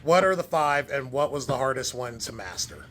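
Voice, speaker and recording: A man's voice: mid-range voice, very animated, moderate pace, a bit bright, slight background noise. A man hosting a casual livestream interview, asking playful, teasing questions. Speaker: livestream host.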